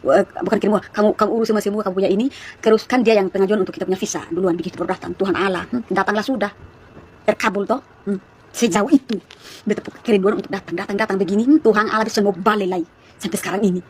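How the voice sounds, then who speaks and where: Fast-talking woman with an East Nusa Tenggara accent. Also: medium pitch, fairly even intonation, darkish tone, slight background noise. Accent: East Nusa Tenggara Malay. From East Nusa Tenggara, Indonesia. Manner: chatty, rapid storytelling with many asides to viewers. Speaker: live streamer. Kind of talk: casual live-stream testimony to viewers.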